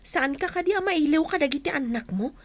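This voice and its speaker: Woman acting a blunt, high-pitched friend. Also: expressive, fast, no room sound. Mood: chiding. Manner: pointed, questioning. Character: blunt, questioning female friend, chiding and nosy. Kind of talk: Ilocano audio drama acting.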